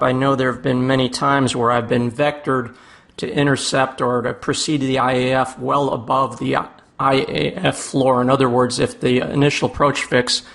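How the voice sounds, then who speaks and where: A man's voice: mid-range voice, creaky, a bit bright. A man hosting a podcast interview, talking conversationally and putting questions to his guest. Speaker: podcast host.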